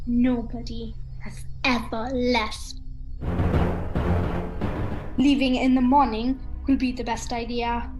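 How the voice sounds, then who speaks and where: A woman's voice: sits high, a little mellow, rough recording. A woman playing a falsely sweet, sinister Countess in an amateur radio play, genteel and theatrical.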